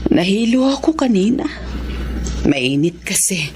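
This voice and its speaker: An actress playing a dazed, grateful well-off married woman in a Filipino radio drama, speaking haltingly. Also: medium pitch, big pitch swings, noisy background.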